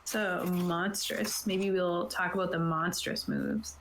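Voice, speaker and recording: A woman's voice: on the low side, steady tone, slow, fairly bright tone, a little hiss or hum. A woman casually explaining game rules on a tabletop stream, reading aloud and then putting it in informal plain terms. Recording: roomy, echoey sound.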